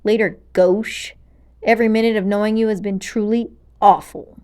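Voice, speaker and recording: A woman's voice: mid-range voice, steady tone, moderate pace, darkish tone, close to an everyday voice. A woman playing a defiant, wry male hero who speaks firmly and dryly in a children's storybook reading. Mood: firm, scornful. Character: heroic adult man, defiant, wry, exasperated.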